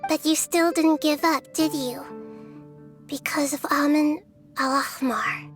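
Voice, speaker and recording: High-voiced, gentle little goddess. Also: female voice, steady tone, takes it slowly. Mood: calm, earnest. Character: small gentle child-like wise goddess, calm and caring. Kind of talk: scripted video game voice acting. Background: music.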